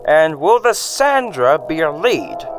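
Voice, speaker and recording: A man's voice: a little high, big pitch swings, takes it slowly, music in the background. A man playing the dramatic narrator of a detective radio serial, reading a script.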